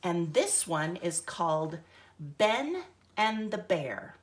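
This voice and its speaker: An older woman tells a children's story at an unhurried pace, her voice rising and falling in lively swoops. She chats gently between pages and asks the little ones questions. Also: on the low side. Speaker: storyteller grandmother.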